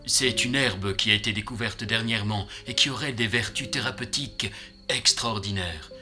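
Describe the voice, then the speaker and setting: A man's voice: fairly low pitch, moderate pace, music in the background, lightly acted. A man voicing a grave, caring doctor in a children's cartoon, explaining things solemnly and with measure. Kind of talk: dubbed children's cartoon acting.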